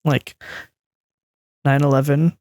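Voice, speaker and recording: A woman in her twenties co-hosts a podcast in a casual, conversational style. Her voice is exceptionally low for a woman. The recording is dry and free of room sound. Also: level tone, slow pace, a little mellow, no echo. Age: 20s. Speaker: podcast co-host. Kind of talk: casual discussion.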